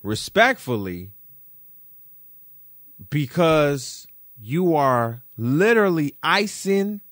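Animated man roasting with slangy repetition. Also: a little high, drawn-out words, dry recording, studio quality. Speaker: podcast host. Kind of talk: solo podcast commentary.

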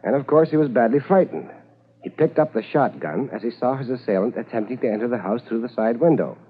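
A man playing a calm, capable police corporal in a 1950s radio drama, with a husky voice. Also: mid-range voice, quick pace, lightly acted. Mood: measured, reporting. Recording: tinny 1950s radio recording.

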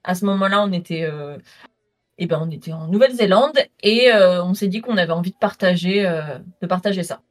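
A woman's voice: on the low side, brisk. Chatty young woman from southern France. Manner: chatty with frequent fillers. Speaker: guest photographer. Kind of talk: casual interview talk.

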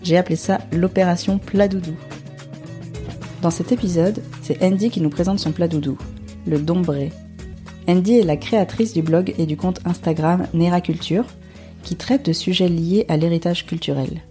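A woman from France hosting a podcast, reading her scripted introduction in a measured way. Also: on the low side, mellow, soft background music. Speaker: podcast host.

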